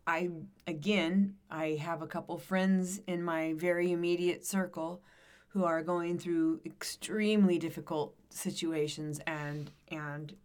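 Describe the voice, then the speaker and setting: A woman's voice: lowish voice, fairly even intonation, measured pace, a little mellow, clear, resonant tone. A woman in casual conversation, supportive and agreeable, often hesitating and restarting as she talks. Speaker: conversation partner. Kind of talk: casual chat.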